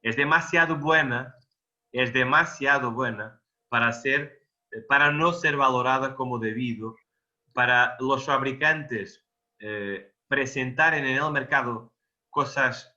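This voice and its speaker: A man's voice: mid-range voice, measured pace, pushed and bright, highs cut off. A man with a Portuguese accent, Portuguese as his first language, explaining a technical subject in Spanish, emphatic and repeating words for stress. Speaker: electro-aesthetics expert guest. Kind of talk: technical explanation in a video interview.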